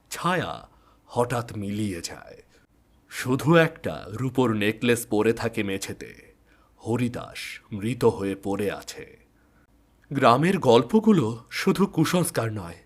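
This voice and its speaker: A man narrating a scripted ghost story in a measured, dramatic storytelling manner. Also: mid-range voice. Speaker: narrator and channel host.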